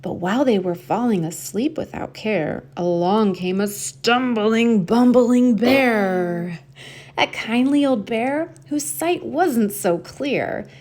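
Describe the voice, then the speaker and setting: A woman reading a rhyming children's picture book aloud in an expressive storytelling style, drawing out key words. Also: a little high, slow. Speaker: storybook reader and host.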